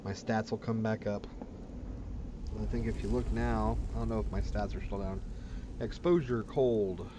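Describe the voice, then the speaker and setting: A man hosts a gaming video in a fairly low voice. He comments casually on his play in a plain, folksy way. Game ambience is clearly audible behind him. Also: steady tone, normal speed. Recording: clear background game noise.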